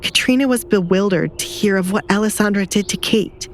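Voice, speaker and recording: Woman narrating fiction, medium pitch, faint noise. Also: a little fast. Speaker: audiobook narrator. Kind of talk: scripted dramatic fiction narration.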